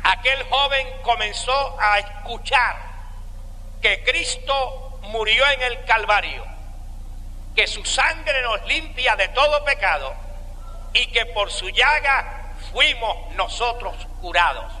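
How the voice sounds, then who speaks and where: A man with a Cuban accent giving a slow, deliberate sermon testimony with dramatic pauses. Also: very high voice, lively intonation, bright, forceful tone, long reverb tail, a little hiss or hum, rough recording. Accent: Cuban Spanish. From Cuba.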